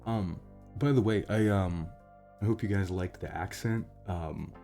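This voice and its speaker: An American man chatting casually and unscripted to his audience, halting and rambling, with self-deprecating, sweary asides. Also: sits low, dark tone, faint music behind. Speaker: voice actor, channel creator. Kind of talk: casual unscripted outro chat.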